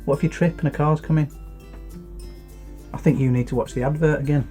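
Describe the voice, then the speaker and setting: A man's voice: on the high side, steady tone, fairly quick, mellow, faint music behind. A middle-aged man with a British accent, co-hosting a casual nostalgic chat. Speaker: co-host.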